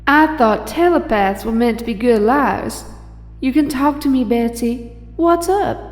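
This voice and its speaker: A woman acting a breezy young Southern belle superheroine in an audio drama. Her voice is high and echoes in a long reverb, folksy and kind, with music beneath. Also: measured pace, close to an everyday voice. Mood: reassuring. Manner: folksy, easygoing.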